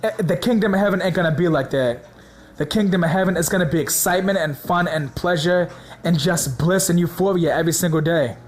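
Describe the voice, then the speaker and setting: A man preaching in a casual, slangy way, hoarse from a sore throat, excited and repeating words for emphasis. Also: high-pitched, a little fast. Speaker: religious video host.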